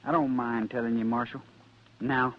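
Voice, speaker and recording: An actor playing a proud, stubborn sixteen-year-old cowboy in a radio Western, with terse, folksy frontier talk. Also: male voice, mid-range voice, little pitch movement, moderate pace, close to an everyday voice. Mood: determined. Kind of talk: 1950s radio Western acting. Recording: dull vintage radio recording with music.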